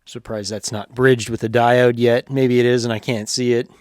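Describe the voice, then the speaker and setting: Male hobbyist repairer, mid-pitched, informal and halting, thinking aloud over hands-on work, dry recording. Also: level tone, a little mellow, no echo. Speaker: vintage radio repairer presenting his own video.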